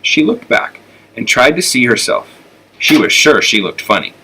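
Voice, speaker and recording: Male storybook reader, American accent, bright and clear-edged tone, telling a children's tale. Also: mid-range voice, sing-song, normal speed, rough recording. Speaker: elementary school teacher reading aloud.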